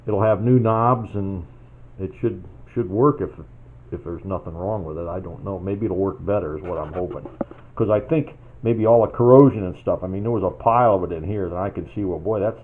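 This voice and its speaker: A man's voice: sits low, a little fast, slight background noise. A man giving a casual, unscripted repair demonstration as an amateur hobbyist, talking in a folksy, hesitant way as he thinks aloud. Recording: tinny phone-like band-limited sound.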